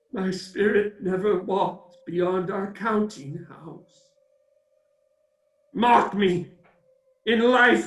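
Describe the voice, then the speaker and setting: An actor playing the mournful, doom-laden ghost of a dead businessman in a theatrical radio play, slow and declamatory with a wailing edge. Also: high voice, bright, forceful tone, heavily acted. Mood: anguished. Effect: cavernous echo on the voice. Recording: echoing, distant-sounding voice.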